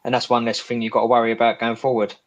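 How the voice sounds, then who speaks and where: A man's voice: medium pitch, completely flat delivery, racing, dull audio. A man co-hosting a football podcast in a casual, agreeable way. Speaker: podcast co-host.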